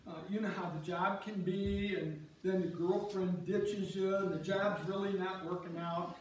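Actor as a high-voiced rambling husband, echoey hall. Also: male voice, steady tone, a little slow. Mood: downcast, hesitant. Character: warm rambling husband Wally, reminiscing about meeting his wife. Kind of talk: amateur reader's theater performance. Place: on stage in a church, far camera. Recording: echoey church hall, distant mic, noisy.

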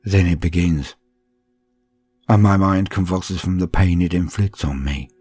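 A man voicing a tormented man who has lost his faith, speaking in a slow, anguished and bitter lament as part of a dramatic audiobook reading. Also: low voice, a little mellow, slightly rough.

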